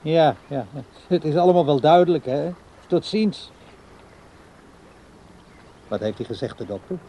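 A mellow, soft-edged old man in a naturalistic radio drama. He is needy and insistent, with a halting, pleading way of speaking, over clear background noise and birdsong. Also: a little high, expressive. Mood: awkward, curt. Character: insistent, needy old man courting a much younger woman. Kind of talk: naturalistic radio play dialogue.

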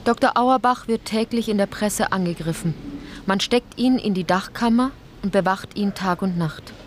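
A woman's voice: medium pitch, normal speed, slight background noise, natural voice. An actress playing an indignant, principled woman in a radio play, narrating in an articulate, insistent, pointed way. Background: music.

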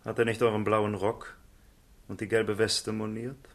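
An actor playing a cynical, world-weary gentleman in a literary radio play, confiding and mocking in a theatrical way. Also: fairly low pitch, level tone, unhurried. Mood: wry, probing.

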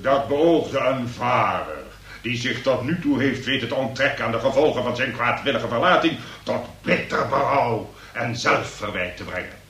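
A man's voice: mid-range voice, expressive, moderate pace, a bit bright, close to an everyday voice. A man acting a pompous, long-winded examining magistrate in a comic radio play, speaking in ornate legal phrases. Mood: pontificating. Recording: noisy, echoey room, distant from mic.

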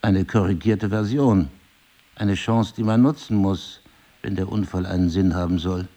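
An actor playing a married crime novelist in an intimate drama, husky and articulate, probing, cynical and wounded, with deliberate literary phrasing. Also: male voice, sits low, fairly even intonation, mellow. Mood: reflective, confiding. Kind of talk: intimate two-person stage drama dialogue.

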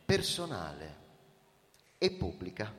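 An actor playing a confident, ambitious young deputy, polished and smoothly deferential, in a radio drama. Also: male voice, low-pitched, huge pitch swings, slow, full character voice. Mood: smug, confident. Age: young adult. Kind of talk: theatrical radio drama dialogue. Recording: long hall reverb, music underneath. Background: music.

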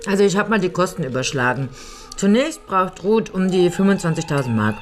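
Woman acting methodical lawyer, matter-of-fact, measured, slightly low voice, animated intonation, radio drama. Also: noisy background, lightly acted. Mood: matter-of-fact, wry. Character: level-headed, methodical woman lawyer, loyal friend.

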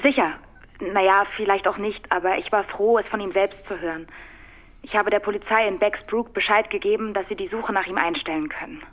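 A woman's voice: medium pitch, brisk, a bit bright. An actress playing a friendly but evasive woman on the telephone in a German radio play, through a phone filter. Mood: guarded. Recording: dull, band-limited telephone sound.

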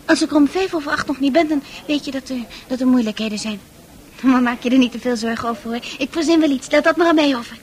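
A woman's voice: sits high, quick pace, a little mellow, slight background noise, natural voice. An actress playing a troubled eighteen-year-old girl in a radio play, hesitant and wistful, guarded but longing to escape. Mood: hopeful, determined. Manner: hesitant, wistful delivery with repeated words.

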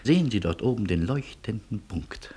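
Deep, husky, world-weary refugee. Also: male voice, lively intonation, moderate pace, mellow. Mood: reverent, assured. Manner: ironic, courtly politeness. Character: world-weary, wry refugee man with failing heart. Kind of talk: German radio play acting.

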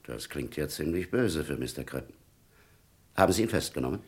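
An actor playing an authoritative senior police chief in a German radio crime play, deliberate, formal and commanding. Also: male voice, a bass voice, animated, breathy edge, heavily acted. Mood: grave, commanding.

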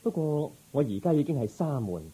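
Serene, very mellow Cantonese ascetic prince. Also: male voice, a little high, big pitch swings, normal speed, breathy edge, heavily acted, rough recording. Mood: serene, grateful. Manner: gentle, composed, humble. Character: serene young male prince turned ascetic monk. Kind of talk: Cantonese radio drama acting.

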